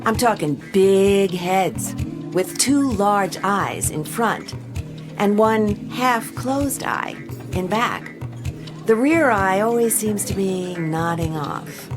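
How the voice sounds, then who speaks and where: A woman playing a cool, wry adventurer, narrating a radio drama with dry, deadpan delivery. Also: mid-range voice, takes it slowly, over music. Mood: dry, amused.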